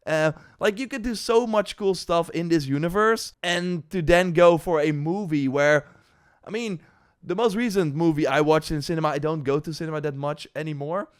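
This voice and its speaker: A man giving casual, unscripted gaming commentary in a halting, rambling way, with animated emphasis and little acted-out asides. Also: on the high side, clean tone. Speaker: gaming news streamer reacting to announcements and chat.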